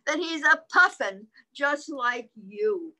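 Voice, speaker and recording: A woman's voice: high-pitched, expressive, slow pace, pushed and bright, voice pushed up, full character voice. A woman reading aloud as a friendly, helpful male whale in a children's picture book. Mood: gentle.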